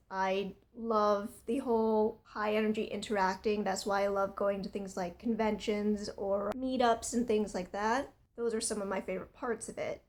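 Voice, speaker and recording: Woman, twenties, hoarse, scratchy, casual vlog chat, rambling. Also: medium pitch. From United States. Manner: rambling, earnest, with many fillers. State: hoarse, strained voice. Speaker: vlogger.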